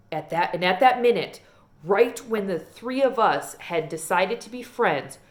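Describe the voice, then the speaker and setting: A woman narrating a children's novel aloud as an expressive storyteller. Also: medium pitch.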